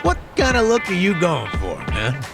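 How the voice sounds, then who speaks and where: An older man with an American accent playing a wry, nosy, unhurried detective who needles people, in a video game. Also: sits high, huge pitch swings, gravelly, loud music behind the voice, exaggerated voice. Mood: teasing, curious. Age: older, near retirement. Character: needling, unhurried old male detective tailing an ex-con. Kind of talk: English video game dub acting.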